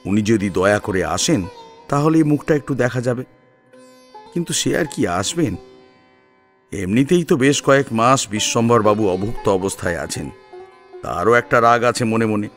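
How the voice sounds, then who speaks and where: A man narrating a scripted story in an expressive, dramatic storytelling manner. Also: lowish voice, moderate pace, long pauses, soft background music. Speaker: narrator and co-presenter.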